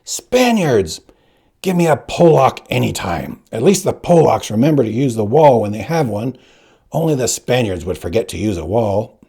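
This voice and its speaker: A man's voice: medium pitch, sing-song, moderate pace, vocal fry, mellow, slightly rough, heavily acted. A man doing a ranting, scornful fencing master. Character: gruff old fencing teacher, ranting and scornful.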